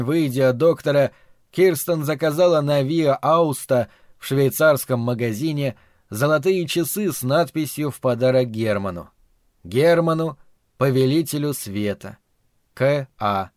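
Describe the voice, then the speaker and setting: Calm male audiobook narrator, dry studio. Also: medium pitch, no echo. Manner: measured, calm storytelling. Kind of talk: scripted literary narration.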